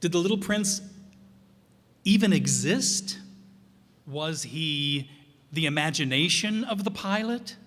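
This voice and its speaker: A man's voice: fairly high pitch, animated, slow, pauses often, fairly bright tone. A man presenting informally after a play, with an emphatic, questioning delivery. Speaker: radio players' presenter. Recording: reverberant hall.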